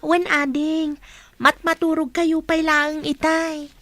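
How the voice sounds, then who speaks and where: A woman's voice: high voice, little pitch movement, slow, fairly bright tone, no echo. A woman playing a dutiful, caring schoolgirl, the eldest daughter, in a radio drama. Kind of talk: Ilocano radio drama acting.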